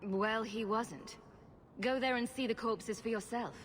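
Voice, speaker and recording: An actress playing a dry-witted, sarcastic adventurer heroine. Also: female voice, a little high, steady tone, a little slow, slight background noise, close to an everyday voice.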